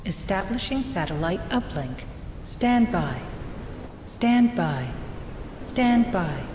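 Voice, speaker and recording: A woman voicing a calm, procedural ship computer that makes formal announcements in a video game. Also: medium pitch, slow pace, background noise. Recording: long hall-like reverb, music behind.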